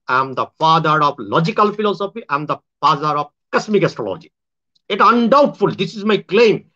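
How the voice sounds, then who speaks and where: A Bangladeshi man speaks English with a Bangladeshi accent in a high, bright voice. He rambles to his viewers in a loose monologue, correcting himself often and making grand claims. The recording sounds dull and lo-fi. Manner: rambling, with many restarts and self-corrections. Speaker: online show host.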